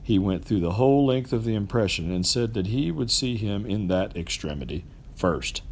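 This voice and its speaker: A man reading a classic story aloud as an expressive storybook narrator. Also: on the low side, normal speed, a little hiss or hum. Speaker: amateur storybook reader.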